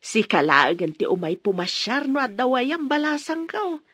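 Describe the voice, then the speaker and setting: An actress playing a grateful, motherly older village woman in a radio drama, with an expressive husky voice. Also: fairly high pitch, moderate pace, dry recording, clean studio sound, exaggerated voice. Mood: fond, grateful.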